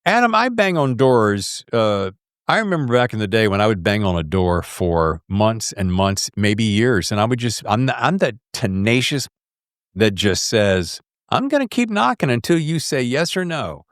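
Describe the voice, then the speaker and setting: A man's voice: lowish voice, sing-song, moderate pace, clean tone, no echo, clean studio sound. A middle-aged American man hosting a podcast in a casual, slangy, effusive way. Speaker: podcast host. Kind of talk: casual podcast interview chat.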